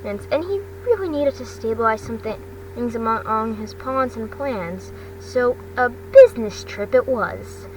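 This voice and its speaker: Female amateur storyteller, higher-pitched, halting delivery with repeats, recorded off-mic with clear background noise. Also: animated. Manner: halting, stumbling, repeats phrases. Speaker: fan fiction narrator. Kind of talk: amateur storytelling read aloud. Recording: distant mic, noisy background hum.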